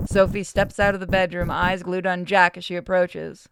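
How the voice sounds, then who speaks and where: A woman's voice: lowish voice, level tone, moderate pace, vocal fry, loud music behind the voice. A woman narrator reading the story and stage directions of a visual novel aloud.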